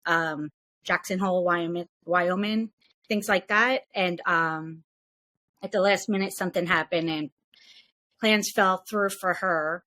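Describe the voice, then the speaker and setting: A woman's voice: mid-range voice, fairly bright tone. A woman on a podcast, casually recounting a trip in a rambling way with many fillers and restarts. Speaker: podcast guest. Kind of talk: casual storytelling.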